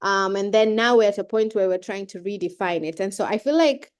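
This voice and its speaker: A woman's voice: mid-range voice, fairly quick, clean tone. A young woman with a cold, giving a chatty, informal keynote talk over a video call, with many fillers and restarts. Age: young adult. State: has a cold. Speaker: keynote speaker. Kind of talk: casual speech on a video call.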